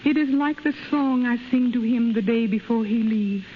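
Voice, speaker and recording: An actress in a 1930s radio drama playing a faithful, hopeful young wife, speaking earnest broken English. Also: female voice, fairly high pitch, normal speed, close to an everyday voice. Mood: tender faith. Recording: muffled hissy 1930s radio broadcast.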